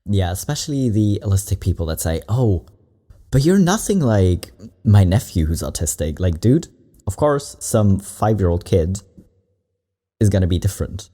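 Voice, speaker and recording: A man with a very low, mellow voice that still swings up and down a lot. He chats casually through a reaction video, relaxed and rambling, tossing in quick asides. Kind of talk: casual commentary on clips.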